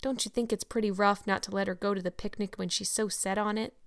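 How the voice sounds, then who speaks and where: A woman reading an audiobook voices a shy, soft-hearted older farmer who speaks in a mild, hesitant, mournful way. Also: medium pitch, steady tone, quick pace, natural voice. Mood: pleading.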